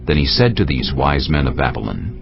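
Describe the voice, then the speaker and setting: A man's voice: a bass voice, big pitch swings, brisk, creaky. A man narrating a dramatized scripture reading as a scripted storyteller. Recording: muffled, with faint music.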